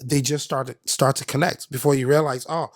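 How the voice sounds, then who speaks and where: Male podcast guest, Ghanaian accent, mid-pitched, dry close recording, agreeable, halting conversational delivery. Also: steady tone, dry recording. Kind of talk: casual interview chat.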